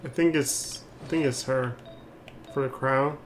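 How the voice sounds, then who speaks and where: A man's voice: mid-range voice, fairly even intonation, measured pace. A man doing casual gameplay commentary as a streamer, rambling and thinking aloud. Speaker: game streamer. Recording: clear background noise.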